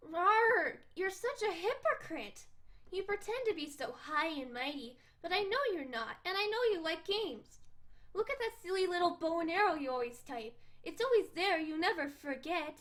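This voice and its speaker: A woman doing a playful excitable cat girl. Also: very high-pitched, normal speed, voice pushed up. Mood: indignant. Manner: bouncy, teasing, shouts cat growls.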